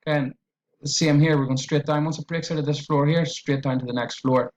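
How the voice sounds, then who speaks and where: A man's voice: fairly high pitch, fairly even intonation, fairly quick, darkish tone, muffled recording. A man with an Irish accent talking through a trading tutorial on screen, in a loose, repetitive way with fillers and restarts. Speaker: trading educator.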